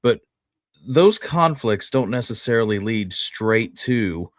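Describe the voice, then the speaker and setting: A man's voice: medium pitch, expressive, slow pace, dry recording. A man hosting a history show, talking casually and often restarting his phrases as he makes a point.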